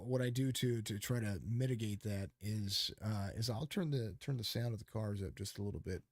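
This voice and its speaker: A man's voice: fairly low pitch, darkish tone, no echo. A man hosting a casual podcast who talks about calling races. He rambles emphatically, with many restarts and fillers, into a close microphone. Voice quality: calls his voice fit for radio. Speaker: podcast host and race commentator.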